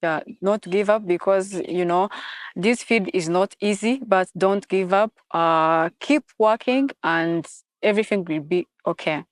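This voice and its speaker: A woman's voice: fairly low pitch, a little slow, dry recording, clean studio sound. A young woman from Rwanda, a freelance journalist, giving a testimonial with simple, hesitant phrasing. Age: young adult. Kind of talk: testimonial interview.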